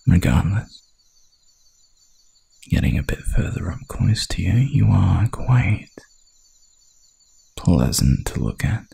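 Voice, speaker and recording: A man voicing a hungry, dominant werewolf hunter in an ASMR roleplay, with a gravelly, breathy growl and a menacing, seductive drawl. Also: sits low, big pitch swings, takes it slowly, pauses often, mellow, heavily acted. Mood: teasing, commanding.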